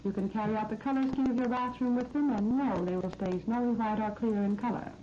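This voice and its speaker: A woman's voice: fairly high pitch, fairly even intonation, brisk, warm tone. An American woman hosting a scripted 1930s radio shopping program, giving promotional, instructive product talk. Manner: promotional, instructive sales patter. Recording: dull, noisy 1939 radio disc recording.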